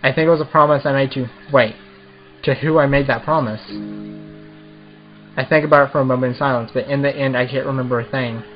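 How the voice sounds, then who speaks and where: A man's voice: mid-range voice, fairly even intonation, fast, pauses often, highs cut off. Man reading and reacting over music. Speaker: gaming video host. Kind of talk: casual let's play, reading visual novel text aloud and commenting.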